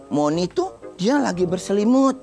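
Animated man storytelling for kids. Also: high-pitched, normal speed. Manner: playful, asks the children questions. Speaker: children's TV storyteller and host. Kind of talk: animated storytelling to young children. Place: TV studio set with seated children. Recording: music behind the voice.

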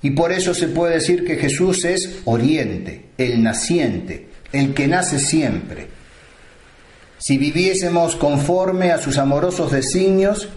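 A priest delivering a slow, solemn sermon with deliberate pauses. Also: male voice, fairly high pitch, a little mellow, echoey room.

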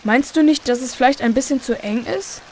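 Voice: high voice, fairly quick, a little mellow, a little hiss or hum, lightly acted. A performer playing a cautious, sensible boy in a children's radio play. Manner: careful, questioning.

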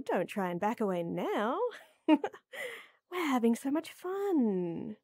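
A woman playing a tall, strong lady knight who flirts and teases softly and coyly in a roleplay audio drama. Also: medium pitch, creaky, husky, dry recording, clean studio sound, full character voice. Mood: teasing, playful. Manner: teasing, coy, soft and intimate with chuckles.

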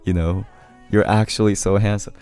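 Low-voiced young man chatting on stream. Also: steady tone, moderate pace, a little mellow, smooth, faint music behind. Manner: laid-back, laughs often, many fillers. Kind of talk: casual livestream chat reading comments. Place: small home room with keyboard. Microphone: studio mic on arm near face.

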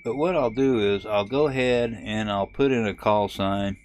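A man hosting a ham radio tutorial, talking through a software setup in a relaxed, halting way with frequent fillers. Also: fairly low pitch, slight background noise. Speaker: ham radio video host. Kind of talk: casual screen-recorded tutorial. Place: camper.

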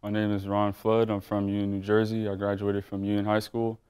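A man's voice: fairly low pitch, monotone, brisk, a little mellow, clean tone. A young man, a college basketball player, introducing himself steadily in a short interview. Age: young adult.

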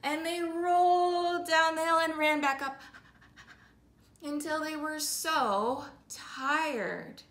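Children's storytime host, female, high bright voice, rhythmic and sing-song delivery, recorded in a living room. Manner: slow, sing-song, repetitive rhythmic phrasing with drawn-out words. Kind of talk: animated oral storytelling for young children. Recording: roomy indoor echo.

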